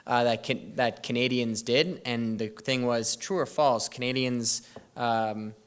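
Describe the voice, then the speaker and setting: A man's voice: medium pitch, steady tone, unhurried, smooth. A young man casually telling a church youth audience about a trip, rambling and breaking off mid-sentence with lots of fillers. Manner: rambling, self-interrupting storytelling with fillers. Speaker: youth group presenter. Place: church hall.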